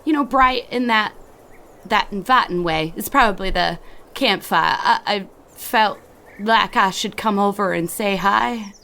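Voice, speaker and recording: Very old lost wandering woman, played by a female game master, vague, halting, slow-paced, for tabletop roleplay. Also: medium pitch, creaky, pushed and bright. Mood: dazed, wondering. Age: elderly.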